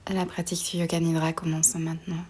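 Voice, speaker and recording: A woman guiding a relaxation meditation in a soft, breathy voice often near a whisper, slow and soothing, with pauses between short phrases. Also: sits low, monotone. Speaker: yoga nidra meditation guide.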